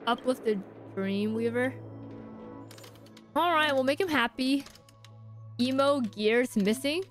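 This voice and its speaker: A woman game streamer giving casual live commentary to chat in informal, halting, slangy speech. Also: high-pitched. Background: game music.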